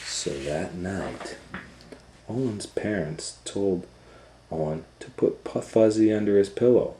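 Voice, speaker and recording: Low, slow man reading a children's book. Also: reverberant, a little hiss or hum, low-quality recording. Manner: animated, swings from hushed whispers to loud excited voices. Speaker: storytime reader. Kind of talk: reading a picture book aloud.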